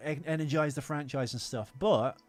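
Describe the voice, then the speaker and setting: A man's voice: fairly high pitch, normal speed, faint music behind. A man co-hosting a podcast in casual, opinionated film chat, ranting emphatically and swearing freely. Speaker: podcast co-host.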